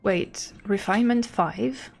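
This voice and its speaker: A woman livestreaming a game talks at a slow pace with plenty of vocal fry. She rambles and thinks aloud in a casual, self-deprecating way, with faint music behind her. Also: medium pitch. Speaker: game streamer. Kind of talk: casual livestream chat and commentary.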